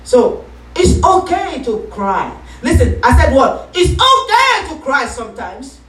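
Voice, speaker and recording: A woman pastor preaching a sermon, calling for responses and rising into shouts. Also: high-pitched, sing-song, a little slow, recorded from a distance. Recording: echoey room, voice from off-mic.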